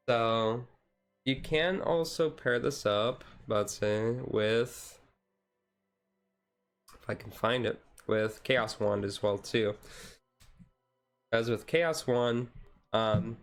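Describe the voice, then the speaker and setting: A man livestreaming in a casual, laid-back, rambling way with informal, jokey slang. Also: on the low side.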